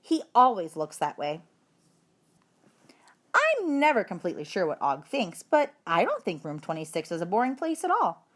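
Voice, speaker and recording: Female storybook narrator, medium pitch, very animated and expressive. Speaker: story reader.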